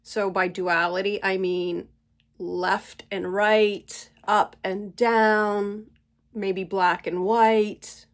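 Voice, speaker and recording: A woman in her forties or fifties hosting her own channel, talking slowly and thoughtfully at home while stuffy from a cold. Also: mid-range voice, flat delivery. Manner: gentle, unhurried, thoughtful pauses. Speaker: channel host. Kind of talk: slow reflective spiritual monologue.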